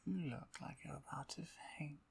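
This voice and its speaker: A woman voicing a dominant, possessive female vampire in a soft, intimate ASMR roleplay, slow and breathy with whispers. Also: deep voice, voice pushed down, heavily acted. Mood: tender, protective. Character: dominant, possessive, playful female vampire, tender toward her pet.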